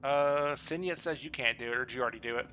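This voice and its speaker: A man's voice: medium pitch, quick pace, sharp tone, slight background noise, rough recording. A man leading a group of players, talking casually and jokingly during a game. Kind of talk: casual gaming chat.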